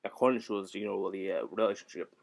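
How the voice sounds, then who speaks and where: A young man in a home basement rambling through comic history in a deliberately bad, put-on Scottish accent, swearing and a bit tipsy. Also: low voice, steady tone, vocal fry. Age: young adult. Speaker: vlogger. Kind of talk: rambling comedic history vlog.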